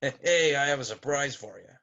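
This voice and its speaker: Chummy old writer pal, male reader, bright voice, folksy, friendly, mid pitch, table-read drama. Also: normal speed, lo-fi sound. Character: friendly elderly screenwriter greeting an old pal. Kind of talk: amateur script table read.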